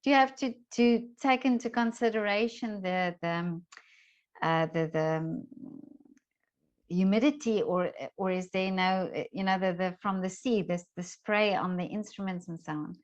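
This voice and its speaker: A woman's voice: mid-range voice, normal speed, dull audio. A woman hosting a casual interview, feeling her way through questions in tentative, searching phrases. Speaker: interviewer, host.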